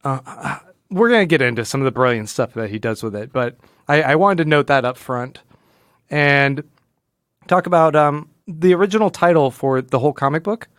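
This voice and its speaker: A man's voice: on the high side, expressive, normal speed, no room sound, studio quality. A man co-hosting a podcast, talking casually about comic books in informal, slangy phrasing. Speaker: podcast co-host. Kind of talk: casual comic book discussion.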